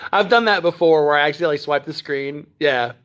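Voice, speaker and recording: A rambling, friendly-sounding livestream host, a man with a somewhat raised pitch. He is from Texas but keeps to a trained standard American accent, chatting loosely on a phone stream at home. He fills pauses with ums and clears his throat often. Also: fairly bright tone. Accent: none, trained standard American. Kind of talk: casual livestream chat answering viewer comments. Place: at home near Dallas.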